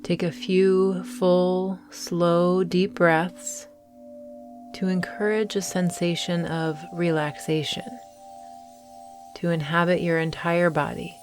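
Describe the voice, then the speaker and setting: A woman guiding a meditation in a gentle, soothing manner, with deliberate phrasing and long pauses. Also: lowish voice, little pitch movement, faint music behind. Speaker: meditation guide and host. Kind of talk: guided morning meditation.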